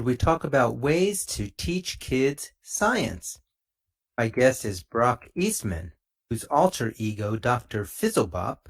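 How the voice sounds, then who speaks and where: A middle-aged man with a mid-range voice hosts an interview podcast. He speaks in an articulate, measured way, setting out introductions and questions in an orderly fashion. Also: medium pitch. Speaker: podcast host.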